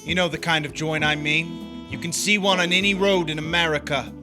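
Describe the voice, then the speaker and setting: A man's voice: fairly high pitch, fairly even intonation, fairly quick, music in the background, close to an everyday voice. A man playing a cynical young drifter who narrates in terse, wry, hardboiled 1940s radio noir style. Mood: wry, brooding.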